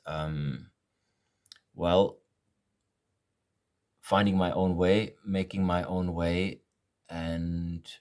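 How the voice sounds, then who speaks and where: A man who grew up in Malaysia, narrating a video tour of his own art in a gentle, reflective way. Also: sits low. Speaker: artist presenting his work.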